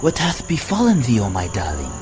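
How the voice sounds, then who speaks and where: A man's voice: mid-range voice, wildly swooping pitch, fairly quick, music in the background. A man playing a doting, questioning husband in a theatrical audio drama with archaic dialogue. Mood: concerned, probing.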